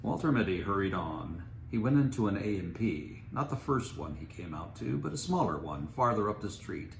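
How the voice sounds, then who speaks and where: A man's voice: sits low, big pitch swings, normal speed, vocal fry. A man narrating a story aloud as a storyteller. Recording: roomy, noisy home recording.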